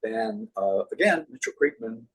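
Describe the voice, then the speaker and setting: A man with a deep voice, chatting casually as an interview guest and reminiscing in an animated storytelling way. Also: normal speed, low-quality recording. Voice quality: deep, husky. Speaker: guest, puppeteer.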